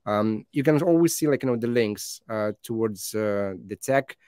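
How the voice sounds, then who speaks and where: A man in his thirties, a native Lithuanian speaker, gives a conference talk over slides, halting with many fillers and restarts. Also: fairly low pitch, mellow, clear, resonant tone, no echo, clean studio sound.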